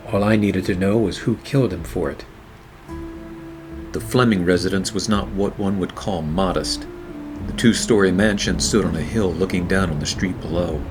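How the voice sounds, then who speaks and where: A man performs a hardboiled gumshoe from a noir radio play. His low voice is wry and deadpan as he narrates, with music playing clearly underneath. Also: lightly acted. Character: hardboiled, wisecracking 1940s male private detective narrator.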